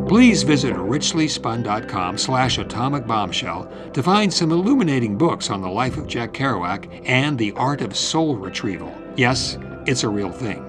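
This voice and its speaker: A man reading scripted podcast narration as an announcer. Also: medium pitch. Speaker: credits announcer.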